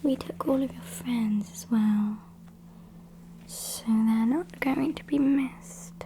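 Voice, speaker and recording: Casting: woman playing sassy British vampire captor for ASMR roleplay, slow breathy whisper, dryly menacing, soft mellow tone, some background hiss. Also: a little high. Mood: dry teasing. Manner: unhurried, chatty, deadpan. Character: sassy female vampire kidnapper, dryly menacing yet polite.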